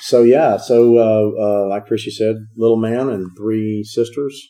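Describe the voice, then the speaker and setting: Very deep, dark-voiced man from East Texas. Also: steady tone, unhurried. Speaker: interview guest. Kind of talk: casual interview.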